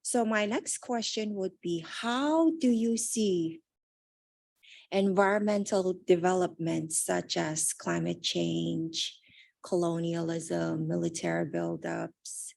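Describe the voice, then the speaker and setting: A woman moderating a panel discussion, who speaks haltingly with many "um"s as she frames a question. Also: lowish voice, steady tone, vocal fry, darkish tone.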